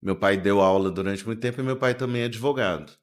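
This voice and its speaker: A man, speaking as a podcast guest. His voice sits low, and he tells his family history in a relaxed, joking way. He unfolds anecdotes with easy pauses. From born in Venezuela, raised in inland Minas Gerais, Brazil. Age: 40s. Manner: easygoing, humorous storyteller. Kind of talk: casual interview storytelling.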